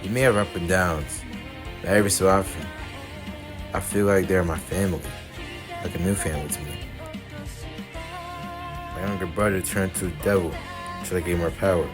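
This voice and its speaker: A man voicing an earnest, guarded young male devil hunter from another universe, delivering animated-story dialogue. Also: low-pitched, normal speed, long pauses, music in the background, close to an everyday voice. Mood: somber, resolute. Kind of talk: animated-series character dialogue, recorded in repeated takes.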